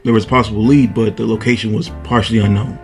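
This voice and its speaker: An actor playing an eager, nervous young police officer in a 1920s radio drama, polite and a little hesitant. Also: male voice, on the low side, fairly even intonation, quick pace, soft background music, lightly acted. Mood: earnest. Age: young adult.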